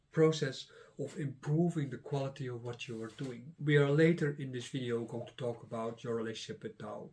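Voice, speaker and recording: A middle-aged man whose first language is Dutch gives a casual lesson, pausing often with filler sounds. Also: mid-range voice, a little mellow. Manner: rambling, many filler sounds and restarts. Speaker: Taoist teacher and channel host.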